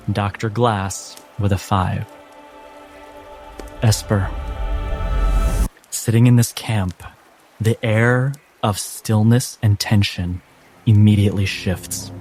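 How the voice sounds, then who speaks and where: A man with a fairly low voice runs a tabletop game as its narrator. He speaks very slowly and deliberately, leaving suspenseful pauses between phrases, with clear music behind him. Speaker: game master. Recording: voice over background music.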